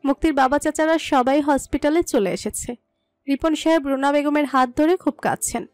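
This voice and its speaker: High-pitched woman narrating a story. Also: moderate pace, no echo. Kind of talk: scripted dramatic story narration in Bengali.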